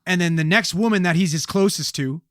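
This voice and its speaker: A man's voice: high voice, a little fast, fairly bright tone, no echo. A man co-hosting a podcast in a studio, explaining his point emphatically in casual, profane chat. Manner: emphatic, swears a lot, explains at length. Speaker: podcast co-host.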